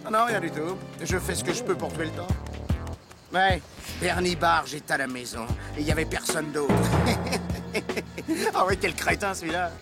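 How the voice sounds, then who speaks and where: A man's voice: a little high, normal speed, close to an everyday voice. A man voicing a cocky, mocking popular schoolboy in a children's cartoon, slangy and self-satisfied. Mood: scornful. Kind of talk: animated children's cartoon dubbing. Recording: loud music behind the voice.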